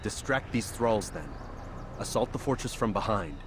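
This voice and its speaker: An actor playing a stern, resolute medieval assassin, terse and commanding, in a dramatic video game cutscene. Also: male voice, lowish voice, animated, normal speed, background noise, close to an everyday voice.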